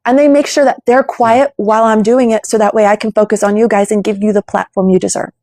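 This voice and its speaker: A woman in her forties hosts a casual political interview from home. Her voice sits somewhat high, and she drives her points home emphatically. The sound is dry and close. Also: steady tone, fast talker, warm tone, dry recording. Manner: emphatic, persuasive. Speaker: podcast host.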